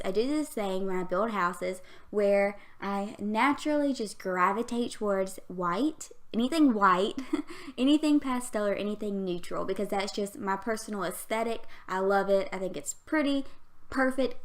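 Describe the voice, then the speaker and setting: A young woman in her twenties with a Southern US accent and a girlish voice that sits at an ordinary pitch. She chats in a loose, rambling way, giggling often and tripping over her words. It sounds like casual talk over a game recording. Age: 20s. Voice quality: youthful, girlish voice. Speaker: YouTube gaming creator. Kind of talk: casual chat over a game speed build.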